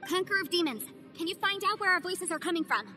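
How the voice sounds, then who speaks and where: Game voice: a bright-voiced, analytical young adviser, high pitch, music underneath. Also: female voice, lively intonation, fast talker. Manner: analytical, earnest, persuasive. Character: sharp, confident young woman legal adviser.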